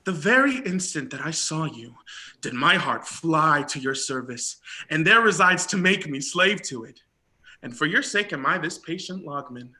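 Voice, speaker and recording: A man acts an ardent young prince in a Shakespearean romance. The voice is high for a man and very bright, with lively swings of pitch. The delivery is theatrical, courtly and effusive, full of devotion. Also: normal speed. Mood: ardent, earnest. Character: lovestruck young prince toiling as log-bearer, ardent, courtly. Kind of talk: Shakespearean stage acting.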